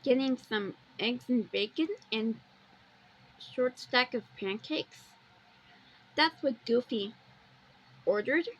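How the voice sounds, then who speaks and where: A woman's voice: a little high, takes it slowly, pauses often, breathy edge, highs cut off. A woman giving a casual amateur video walkthrough, plainly describing pictures and wandering off into personal asides.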